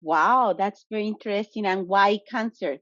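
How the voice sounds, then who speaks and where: A woman's voice: medium pitch, normal speed, fairly bright tone, no echo, lo-fi sound. A woman hosting an interview, speaking hesitantly with many fillers. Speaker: host.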